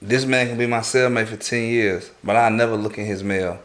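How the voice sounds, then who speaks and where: A man's voice: fairly low pitch, fairly even intonation, a little fast, a bit bright. A man on a talk show panel chatting casually in slang, frank and opinionated, often checking that he is understood.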